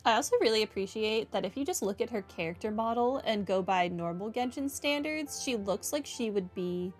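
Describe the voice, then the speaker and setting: A woman's voice: mid-range voice, fairly quick. A woman hosting a video game playthrough, casually commenting and reading dialogue aloud with a wry manner. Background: music.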